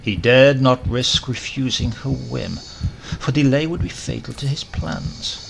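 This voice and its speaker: Gravelly male narrator of dramatic horror fiction. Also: medium pitch, measured pace, fairly bright tone, slight background noise. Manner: theatrical, emphatic, formal delivery. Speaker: audiobook narrator.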